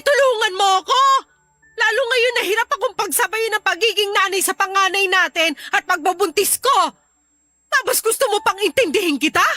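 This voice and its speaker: An exasperated young Filipino wife, pregnant and sharp-tongued, in a radio drama. Her voice is extremely high and piercingly bright. She scolds with full melodrama, faint music playing underneath. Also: heavily acted.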